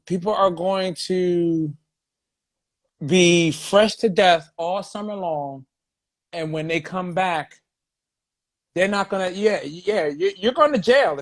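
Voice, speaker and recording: A man hosting a comedy talk show, talking casually and excitably, swearing and laughing a lot. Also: sits high. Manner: profane, excitable, laughs often.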